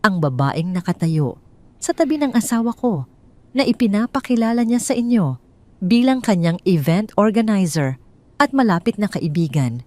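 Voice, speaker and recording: A woman voicing a wronged middle-aged wife, firm, clear and accusing, in an audio drama. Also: mid-range voice, animated, normal speed, darkish tone, no room sound, pitched above the performer's own voice, natural voice. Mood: accusing, angry.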